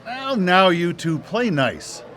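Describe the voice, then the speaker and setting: An actor playing a smug, gleeful boss of soul collectors in a theatrical, gloating manner for live radio drama. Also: male voice, sits high, sing-song, takes it slowly, noisy background, exaggerated voice. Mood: smug, playful.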